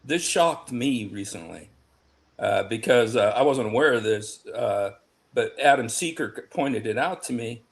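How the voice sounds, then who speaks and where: A man talks in a casual online panel with an everyday mid-range pitch. He is emphatic and excitable, tripping over his words and repeating phrases as he pushes his point. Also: a little slow, gravelly. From United States. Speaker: panel guest.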